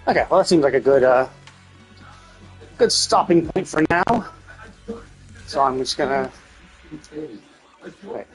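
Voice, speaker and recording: A man streaming a game, casually rambling and thinking out loud as he commentates. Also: fairly high pitch, lively intonation, measured pace, long pauses, faint music behind, low-quality recording. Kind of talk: casual live stream commentary, speculating aloud.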